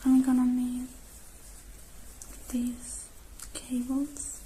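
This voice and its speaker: A woman doing an ASMR roleplay as a technician, whispering softly and breathily close to the microphone, murmuring gently through each step. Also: high voice, completely flat delivery, extremely slow, a little hiss or hum, low-quality recording. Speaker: ASMR creator playing a robot technician.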